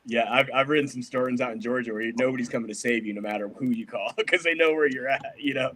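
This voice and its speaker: A man's voice: mid-range voice, fast talker, a bit bright. A middle-aged man from Colorado, a musician guest talking casually in a rambling, laid-back way. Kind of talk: casual podcast interview. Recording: noisy background on a remote line.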